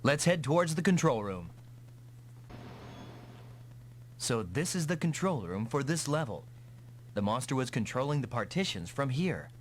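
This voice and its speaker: Casting: male actor as gung-ho young pilot hero for an anime-style game, confident, animated delivery. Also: mid-range voice, normal speed, close to an everyday voice. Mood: businesslike. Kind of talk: dubbed anime-style video game acting. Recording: noisy vintage console game audio.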